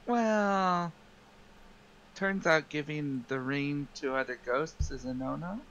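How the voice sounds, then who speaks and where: A man's voice: on the high side, takes it slowly, a bit bright, muffled recording. A man casually narrating his own character's actions in a tabletop roleplaying game. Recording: clearly noisy background.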